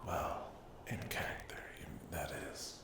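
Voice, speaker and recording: A man speaking in a hushed, halting whisper as an ASMR host addressing his viewers. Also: echoey room. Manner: hushed, halting delivery with long pauses.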